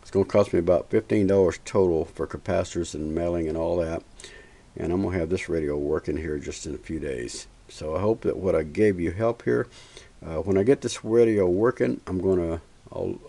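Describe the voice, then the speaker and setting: A man's voice: low-pitched, a little fast, creaky, dark tone. An older man giving a casual, unscripted hobby tutorial in a folksy, plain-spoken, instructional way. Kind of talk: casual unscripted how-to demonstration. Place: cluttered indoor home workbench. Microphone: handheld camera's built-in microphone. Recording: noisy amateur camera audio with handling clicks.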